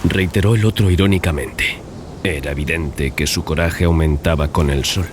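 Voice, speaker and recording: A man's voice: extremely low pitch, moderate pace, noisy background. A man reading a scripted first-person story as audiobook narration. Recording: music behind the voice. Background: clear background music.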